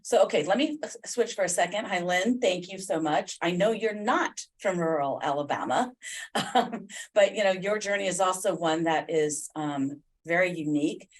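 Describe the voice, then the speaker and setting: Low-voiced woman hosting a podcast. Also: normal speed. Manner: rambling with many fillers. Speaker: podcast host. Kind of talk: conversational interview.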